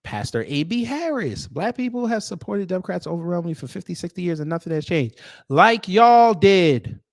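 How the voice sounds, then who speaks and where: A man with an American accent hosting off-the-cuff online commentary in a sarcastic, confrontational manner with pointed pauses. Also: sits high, big pitch swings, no room sound, studio quality. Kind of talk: off-the-cuff opinion commentary, reading posts aloud.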